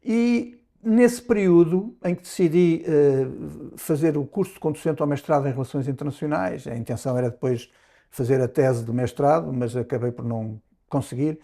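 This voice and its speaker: A man in his sixties from Lisbon with a European Portuguese accent, answering interview questions about his diplomatic career in a measured way, often pausing and restarting. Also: a little high, dark tone. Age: 60s. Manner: measured, with frequent hesitations and restarts. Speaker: ambassador, interview guest.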